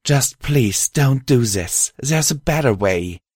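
A man voicing a gentle female alicorn pony, earnest, caring and pleading, in an audiobook-style fantasy story. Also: mid-range voice, expressive, takes it slowly, slightly rough, no echo, clean studio sound, pitched above the performer's own voice.

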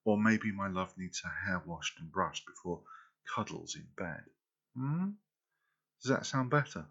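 A man performing an intimate audio roleplay, speaking softly and teasingly as if close to the listener's ear. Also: low-pitched, lively intonation, measured pace, gravelly, breathy edge, lo-fi sound.

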